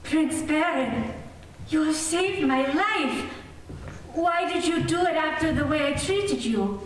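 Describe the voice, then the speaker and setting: Woman acting haughty, high-voiced princess. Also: lively intonation. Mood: puzzled gratitude. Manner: grand, deliberate. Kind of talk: old-time radio serial acting. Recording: distant, very echoey hall, faint noise.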